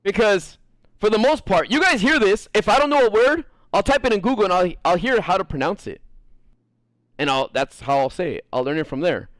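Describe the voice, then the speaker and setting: An American man streaming a game and chatting casually, animated and swearing freely, with frequent laughs. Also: sits high, brisk, no room sound, low-quality recording. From United States. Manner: animated, profane, laughs often. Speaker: gaming streamer. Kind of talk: casual chat while gaming.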